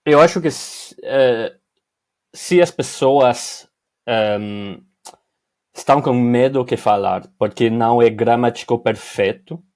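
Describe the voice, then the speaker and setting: Slow, halting man with a Quebec French accent. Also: mid-range voice, darkish tone. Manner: hesitant, halting second-language speech with many fillers. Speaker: podcast guest. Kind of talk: casual chat.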